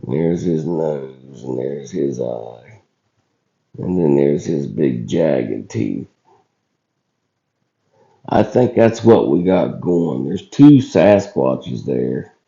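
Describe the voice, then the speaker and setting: Deep, slow, gravelly hoarse man. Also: lively intonation, warm tone. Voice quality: rough, scratchy voice. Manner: folksy, halting, colloquial. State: hoarse, recovering from illness. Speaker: video channel host. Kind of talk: casual footage commentary. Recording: dull lo-fi recording.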